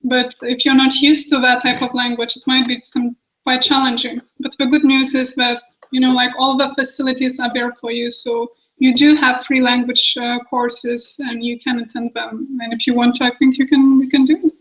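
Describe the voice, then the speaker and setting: A young woman with a high voice and little rise and fall. She talks casually, like a student sharing advice on a webinar panel. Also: level tone, normal speed, a bit bright, poor audio. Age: 20s. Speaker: international student speaker on a university webinar panel. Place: small office meeting room.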